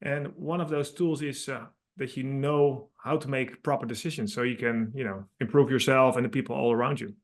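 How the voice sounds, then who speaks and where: A man's voice: medium pitch, fairly even intonation, brisk, hardly any pauses. A middle-aged man from the Netherlands with a Dutch accent, a guest author explaining his ideas earnestly in a podcast interview, with slightly non-native phrasing.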